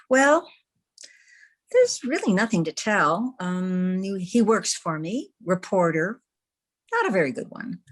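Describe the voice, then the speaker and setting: A proud young newspaperwoman played by a woman in old-time radio style. Her delivery is slow and very animated, hesitant and emphatic as she covers her feelings with exasperation. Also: medium pitch. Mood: weary, exasperated.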